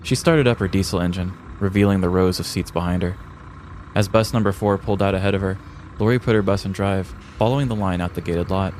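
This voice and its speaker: A man's voice: deep voice, quick pace, darkish tone, slight background noise. An American man in his thirties reading scripted comedic story narration as a podcast host. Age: 30s. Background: faint music.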